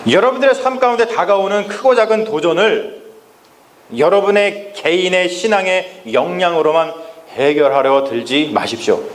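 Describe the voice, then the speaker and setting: A male pastor preaching a Sunday sermon in a church, speaking emphatically and often turning to address the congregation directly, slowing down to stress key phrases. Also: high voice, expressive, slight background noise. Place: church sanctuary. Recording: echoey church with faint ambience.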